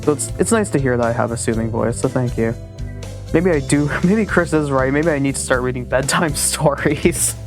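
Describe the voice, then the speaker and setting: A male streamer talking casually to his viewers. He rambles in a chatty, self-deprecating way at a middling pitch. Faint music plays behind him. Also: moderate pace. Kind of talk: casual live-stream commentary.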